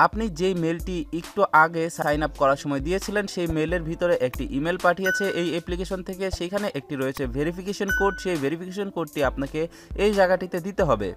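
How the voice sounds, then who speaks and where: A man hosting a step-by-step app tutorial, repeatedly addressing viewers as friends. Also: fairly high pitch. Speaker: tutorial host.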